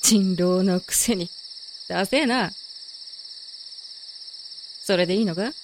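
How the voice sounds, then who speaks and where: A woman playing a rough-mouthed, short-tempered young werewolf woman in an audio drama, taunting in coarse, masculine speech. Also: medium pitch, takes it slowly, noisy background. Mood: scornful. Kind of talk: Japanese audio drama acting.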